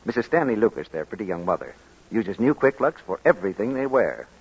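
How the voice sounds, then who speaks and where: A man's voice: fairly low pitch, big pitch swings, fairly quick, slightly rough. A man announcing and reading a scripted commercial as a 1940s radio announcer. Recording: muffled 1940s radio broadcast.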